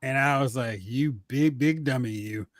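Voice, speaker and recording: A man hosting a casual, joking movie talk show with an animated delivery. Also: mid-range voice, normal speed, dry recording, clean studio sound. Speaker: podcast host.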